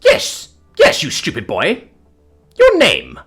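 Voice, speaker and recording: An indignant, snobbish noblewoman from an audiobook, acted by a man, scolds in pompous, ornate phrases. The voice is pitched up and swoops dramatically, with a slightly husky grain. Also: wildly swooping pitch, brisk, sharp tone, faint music behind, full character voice. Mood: exasperated. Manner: pompous, admonishing, ornate speech.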